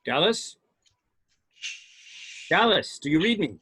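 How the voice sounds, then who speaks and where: A man's voice: high-pitched, sing-song, slow, bright, forceful tone, breathy edge, full character voice. A man playing a coolly dismissive spaceship science officer in an amateur table read, matter-of-fact and unemotional. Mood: calling out.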